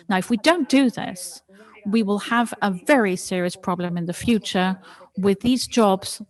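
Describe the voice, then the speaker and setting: Halting woman interpreting a panel talk. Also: medium pitch, rough recording. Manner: hesitant, frequent restarts and cut-off words. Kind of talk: simultaneous interpretation of a presentation. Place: online panel.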